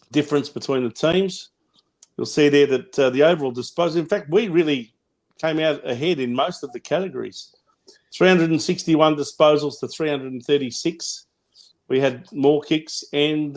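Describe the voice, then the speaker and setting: A man with an Australian accent and a booming voice, hosting a casual football chat show and running through match stats in a rambling, opinionated way. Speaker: podcast host.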